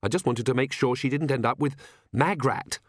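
A man's voice: mid-range voice, normal speed, no echo, close to an everyday voice. A man voicing a prickly, fretful young mother with a dry, resentful manner in a storybook reading. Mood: hissed, defensive.

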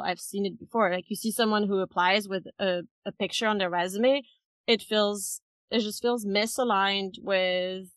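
A woman's voice: medium pitch, a bit bright, dry recording. Interview guest: woman, mid-range voice, thoughtful and explanatory, conversational delivery. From France. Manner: thoughtful, explanatory, often checks with "right?" and "you know". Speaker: interview guest, head of people at a tech company.

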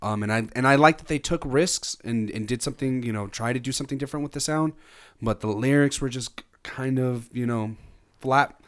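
A young man chatting casually on a music review podcast. He rambles through his thoughts with frequent restarts and fillers, in a relaxed, offhand way. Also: medium pitch, a little fast. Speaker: podcast co-host. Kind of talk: casual album review chat.

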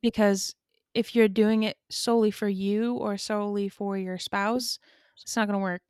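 Steady, even-toned woman. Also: medium pitch, normal speed, clean tone, no echo, studio quality. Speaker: podcast co-host. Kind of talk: casual faith discussion.

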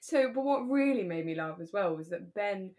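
A woman's voice: mid-range voice, lively intonation, moderate pace, darkish tone. Woman co-hosting a chatty podcast. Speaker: podcast co-host. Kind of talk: casual chat about a radio drama.

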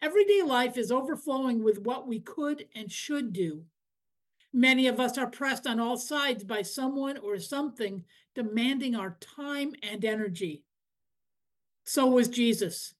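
Woman w a slightly high voice reading a written faith reflection, measured n earnest. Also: animated. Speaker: library director giving a scripture reflection. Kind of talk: scripted devotional reflection, read aloud.

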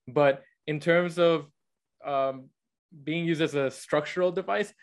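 A man's voice: a little high, steady tone, a little slow. A young man co-hosting a podcast, explaining and arguing his points in a casual book discussion. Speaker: podcast co-host.

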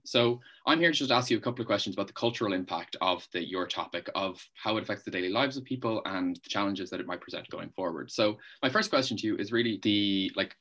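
A man's voice: fairly low pitch, fairly bright tone. A man hosting a podcast interview and asking questions in a hesitant way, with "um" and "like" fillers. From Ireland.

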